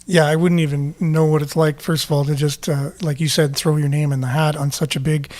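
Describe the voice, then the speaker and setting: A man hosting a casual interview, with halting, rambling phrasing. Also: a little high, little pitch movement, a little mellow. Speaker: interview show host.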